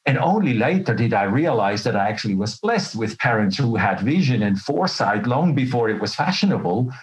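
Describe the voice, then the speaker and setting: A man's voice: mid-range voice, very animated, fairly quick, low-quality recording. A middle-aged man from Switzerland telling his own story as an interview guest, candid, colloquial and self-deprecating. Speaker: interview guest, health professional. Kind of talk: conversational personal storytelling.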